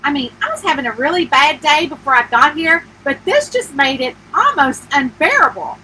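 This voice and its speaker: A woman's voice: high voice, very animated, normal speed, pushed and bright, a little hiss or hum, exaggerated voice, low-quality recording. A woman voicing a jilted wife in a story reading, incredulous and sarcastic, with emphatic questioning delivery. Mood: distressed.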